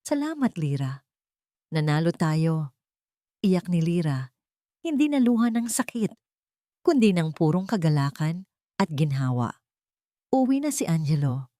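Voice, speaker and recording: A woman's voice: lowish voice, sing-song, normal speed, pauses often, mellow, no echo, studio quality. A woman with a Filipino accent reading a scripted, dramatic love story as its narrator.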